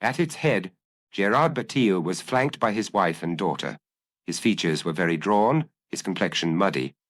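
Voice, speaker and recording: A man narrating a detective story as an audiobook, in a measured, literary storytelling manner. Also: deep voice, lively intonation, dry recording.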